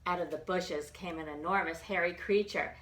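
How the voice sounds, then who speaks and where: A woman reading aloud as a children's library storyteller, expressive and animated, in simple child-directed language. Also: fairly low pitch, moderate pace. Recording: roomy echo with background noise.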